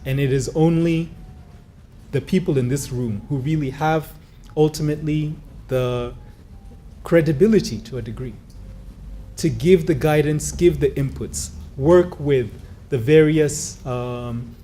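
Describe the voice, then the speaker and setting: A man giving a formal conference speech, measured, with frequent fillers. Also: on the high side, warm tone. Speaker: conference speaker. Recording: faint background noise.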